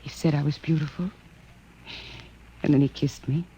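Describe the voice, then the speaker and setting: A woman's voice: low-pitched, fairly even intonation, moderate pace, warm tone. A woman playing a wistful, hesitant, dreamy Martian wife in a science fiction radio drama. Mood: embarrassed, hesitant. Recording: muffled old radio recording, noticeable hiss.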